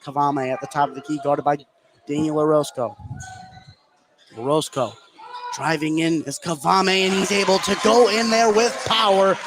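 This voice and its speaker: A man doing live radio play-by-play of a basketball game, getting excitable on big plays. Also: on the high side, normal speed, background noise. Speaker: play-by-play commentator.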